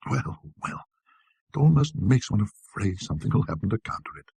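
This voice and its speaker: A man voicing a delighted gentleman antique collector in a storybook, murmuring to himself in husky, self-satisfied musing. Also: lowish voice, big pitch swings, fairly quick, dry recording, heavily acted. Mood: complacent delight.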